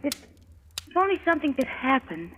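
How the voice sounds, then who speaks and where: An actress playing an anxious, worn-out young wife, pleading and fretful, in an old radio drama. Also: female voice, sits high, very animated, a little fast, fairly bright tone. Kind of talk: 1940s radio acting. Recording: dull old radio broadcast with hiss.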